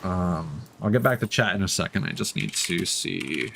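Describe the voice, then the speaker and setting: A man chatting casually as he livestreams a game, candid and reflective, in a halting, rambling way that often doubles back to correct itself. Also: low-pitched, lively intonation, creaky, fairly bright tone, slight background noise. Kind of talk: casual streamer chat while gaming.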